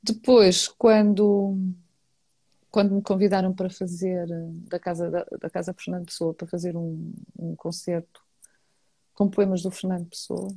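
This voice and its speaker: A woman with a European Portuguese accent talks casually as a guest, often circling back and repeating herself mid-sentence. Her voice sits low and sounds warm and mellow. The recording is dry and close. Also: little pitch movement, moderate pace, dry recording. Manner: hesitant, repeats words, many restarts. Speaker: singer and guest. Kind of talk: casual conversation.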